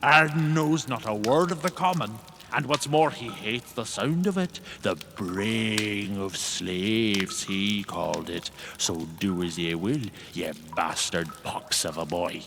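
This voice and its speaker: A man's voice: on the low side, slow. A man playing a blunt, scornful undead warrior general in a fantasy audio drama, speaking in insults and old-fashioned phrases. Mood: taunting. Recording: echoing cave reverb, faint noise.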